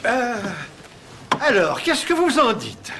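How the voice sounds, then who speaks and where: Man acting very high-voiced persuasive antique dealer. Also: wildly swooping pitch, takes it slowly, bright, forceful tone, full character voice. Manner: persuasive, assuring salesmanship. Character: smooth-talking male antique dealer, insistent salesman. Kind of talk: fan dub acting of a fantasy series. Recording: amateur dub with faint background noise.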